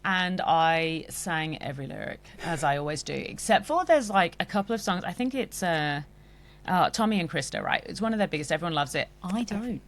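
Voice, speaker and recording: A woman with an Australian accent and a croaky voice, hosting a podcast in a bubbly, chatty way. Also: lowish voice, lively intonation, a little fast. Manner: bubbly, chatty, breaks into song. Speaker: podcast host. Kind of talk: casual chat.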